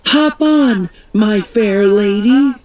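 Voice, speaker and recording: A woman's voice: medium pitch, very slow, crowd noise, pitched above the performer's own voice. A storybook reader voicing a plucky young girl reporter in a chirpy, matter-of-fact tone. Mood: upbeat.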